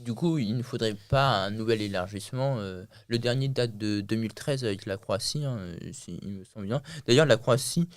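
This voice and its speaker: A man's voice: lowish voice, moderate pace, hardly any pauses, no room sound. A man hosting a podcast interview, asking questions in a hesitant way with many fillers. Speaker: podcast host.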